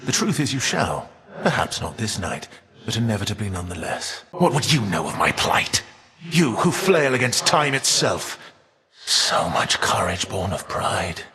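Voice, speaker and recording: A man playing a weary, defiant, all-knowing Titan in a video game, speaking in a measured, formal, archaic and foreboding way. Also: lowish voice, very animated, in a large hall, crowd noise. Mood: grave, knowing. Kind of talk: theatrical video game character voice acting.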